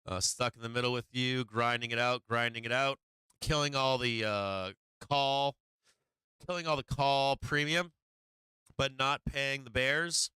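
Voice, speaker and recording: A man's voice: medium pitch, fairly even intonation, measured pace, sharp tone, smooth, no echo, rough recording. A man hosting a stock market livestream, talking casually and profanely through charts with many fillers while he is losing his voice.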